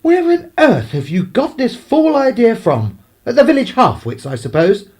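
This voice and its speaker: A man acting a domineering English clergyman in a radio play. He is middle-aged, stern and patronising. His delivery swings widely in pitch and drips with pompous scorn. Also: wildly swooping pitch, normal speed, a little mellow, full character voice. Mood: mocking.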